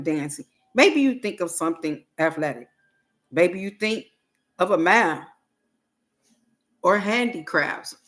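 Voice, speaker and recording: A woman reads aloud from a self-help book at a very unhurried pace. Her voice sits a little low, and she breaks sentences into short, deliberate pieces, pausing between them. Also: a bit bright. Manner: halting, deliberate phrasing with pauses and repeats. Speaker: host reading a book aloud on a livestream.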